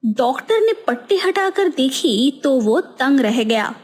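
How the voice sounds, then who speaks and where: Slow expressive woman storyteller. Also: high-pitched. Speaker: narrator. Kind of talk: storybook narration.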